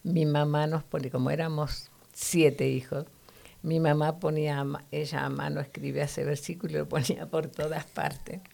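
Low, gravelly-voiced woman teaching the Bible. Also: little pitch movement, normal speed, dark tone. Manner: earnest, teacherly, frequent "¿no?" tags.

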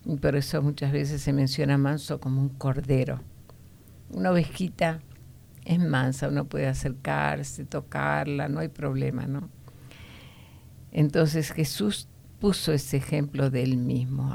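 Low, gravelly woman teaching the Bible. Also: fairly even intonation, warm tone. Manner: explanatory, often ending points with a questioning "no?"; unhurried, with frequent hesitation fillers.

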